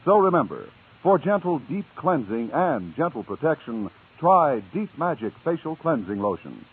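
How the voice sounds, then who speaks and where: A man's voice: on the high side, sing-song, normal speed, slight background noise. An American man reading a scripted mid-century radio advertisement with a polished, emphatic sales delivery. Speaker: radio commercial announcer. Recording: tinny, muffled old radio recording.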